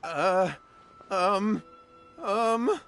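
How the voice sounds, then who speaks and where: An actor playing a cowardly, guilt-ridden schemer in an anime-style video game, stammering and pleading. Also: male voice, high-pitched, extremely slow, fairly bright tone, full character voice. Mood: panicked, caving. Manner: stammering, pleading, breaking into shrieks when frightened. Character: cowardly, guilt-ridden scheming man confessing to an occult wish. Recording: background music under the voice.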